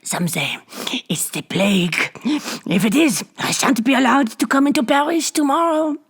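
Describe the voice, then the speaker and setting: A woman's voice: high voice, normal speed, husky, voice pushed up. A woman doing a coarse, jeering old hag. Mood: sly, gloating. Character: coarse, mocking old hag cart driver, a grandmother.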